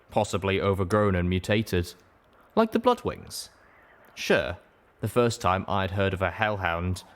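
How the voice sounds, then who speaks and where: A man reading scripted first-person fiction as an audiobook narrator. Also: deep voice.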